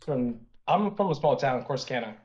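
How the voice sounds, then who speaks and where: A man from Texas calling into a podcast, relaxed and chill in casual chat. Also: medium pitch. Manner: relaxed, chill, slow-talking. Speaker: caller. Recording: muffled call-in line.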